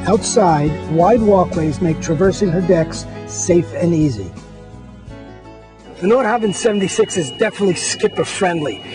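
A man's voice: sits high, expressive, normal speed, over music. A man, a magazine editor, walking viewers through a boat and pointing out its features one by one in an upbeat tour narration.

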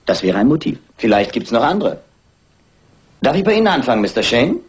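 A man playing a sceptical, persistent police inspector in a German radio crime drama, husky, dryly sardonic but officially polite. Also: medium pitch, very animated, fairly quick. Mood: insistent, official.

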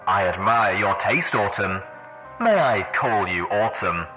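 Man voicing an older stallion warlord over intercom, forceful, bright. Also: lowish voice, expressive, unhurried, faint music behind. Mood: suave, wry. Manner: pleasant, wry, mock-hospitable. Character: older wasteland-hardened stallion warlord, pleasant and wry. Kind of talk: audiobook character voice.

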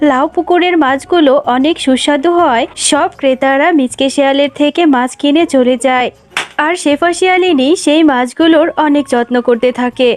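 High-pitched woman narrating a cartoon tale. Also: moderate pace. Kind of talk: scripted children's cartoon narration.

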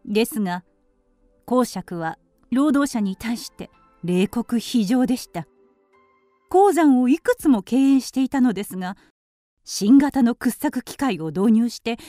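A woman's voice: on the high side, very animated, measured pace, mellow, no echo, soft background music. A woman voicing a refined female canary who politely tells her life story in a radio drama. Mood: earnest recounting. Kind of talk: Japanese radio drama narration.

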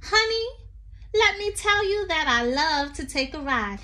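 A middle-aged woman reads a children's poem aloud in a high voice. Her pace is very unhurried, with drawn-out, sing-song emphasis and chatty teacherly asides. She is indoors in a small room, recorded on a phone at arm's length. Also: big pitch swings, sharp tone. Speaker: teacher reading to her class. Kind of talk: expressive picture-book read-aloud of a poem for young children.

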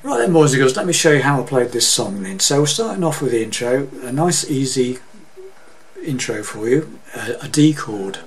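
A man's voice: medium pitch, animated, moderate pace, a little hiss or hum. A man giving a casual guitar lesson in a small room, often checking in with "okay?" as he explains the chords. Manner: chatty, says "okay?" often, sings snippets over strumming. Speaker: guitar teacher. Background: his own acoustic guitar.

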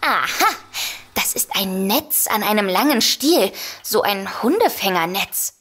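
An actress playing a brisk, composed woman in a comedic audio drama. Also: mid-range voice, expressive, a bit bright, husky. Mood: brisk, intent. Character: brisk, composed woman who dotes on her cat.

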